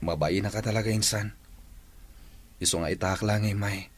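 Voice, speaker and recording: A man's voice: fairly low pitch, steady tone, normal speed, slight background noise, natural voice. A man playing an ashamed, apologetic young cousin in an Ilocano radio drama, with an Ilocano accent.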